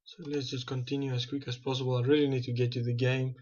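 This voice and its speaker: Flat-voiced South African man. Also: mid-range voice, quick pace, dull audio. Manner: thinking aloud, restarts and self-corrections. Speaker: gaming channel host. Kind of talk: casual gameplay commentary.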